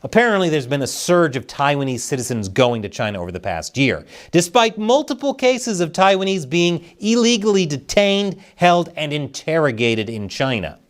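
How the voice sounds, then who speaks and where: A man's voice: on the high side, big pitch swings, normal speed, few pauses. A man hosting a scripted satirical news show, delivering commentary in a sarcastic, mock-serious manner with dry punchlines.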